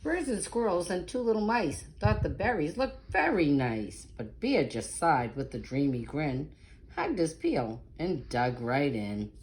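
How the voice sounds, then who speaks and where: A woman's voice: low voice, sing-song, a little slow, a little mellow, poor audio. A woman narrating a children's picture book in a dramatic, sing-song read-aloud style.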